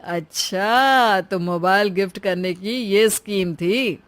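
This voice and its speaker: A woman's voice: mid-range voice, slow pace, natural voice. A woman voicing a mild adult husband in a family story, with a reasoning manner. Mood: curious, teasing. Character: mild adult husband defending his mother.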